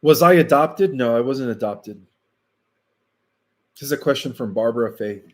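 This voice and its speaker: A man whose first language is Spanish, hosting a casual livestream and answering viewer questions in a slow, deliberate way with frequent fillers. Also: mid-range voice, lively intonation, a little mellow.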